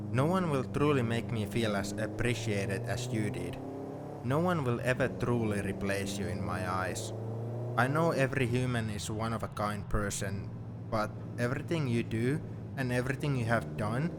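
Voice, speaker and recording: A man playing a young, sentimental and gently teasing best friend in an intimate audio roleplay, speaking in a conversational, reflective way. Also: mid-range voice, close to an everyday voice. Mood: sincere, sentimental. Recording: steady car noise behind the voice. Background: car ambience.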